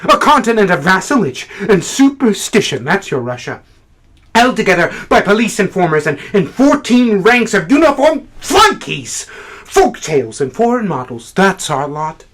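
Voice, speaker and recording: A man performing a theatrical monologue as a fervent, awkward, idealistic literary critic, halting at times and then declamatory. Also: high-pitched, sing-song, a bit bright, voice pushed up, heavily acted. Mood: indignant.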